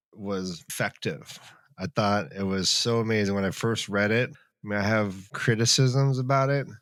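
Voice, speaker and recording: A man's voice: sits low, a bit bright, no echo. A man co-hosting a podcast, chatting casually about a book. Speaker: podcast co-host.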